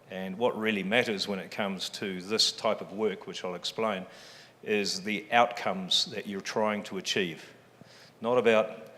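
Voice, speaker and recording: A man's voice: fairly low pitch, bright, forceful tone, a little hiss or hum. A man with a New Zealand accent speaking on a panel, joking and self-deprecating, with plenty of ums. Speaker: panelist, fund chief executive. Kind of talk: public forum talk. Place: large event hall. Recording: long hall reverb.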